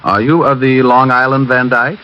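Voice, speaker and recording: An actor playing a wisecracking private detective who also narrates, dry and joking. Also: male voice, medium pitch, flat delivery, moderate pace, a little hiss or hum, lightly acted. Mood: wry. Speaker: narrator and lead. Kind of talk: 1940s radio acting. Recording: muffled old radio broadcast with music.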